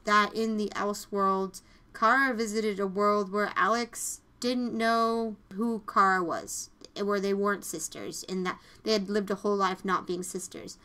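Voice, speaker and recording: Female podcast host, bright tone, mid-range pitch, casual and jokey review chat. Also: clean tone.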